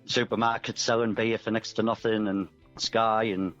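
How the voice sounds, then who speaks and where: A man with a lowish voice on a dull, narrowed line, with guitar music underneath. He talks casually as an interview guest, hesitant and earnest, reflecting as he goes. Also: little pitch movement, moderate pace, fairly bright tone.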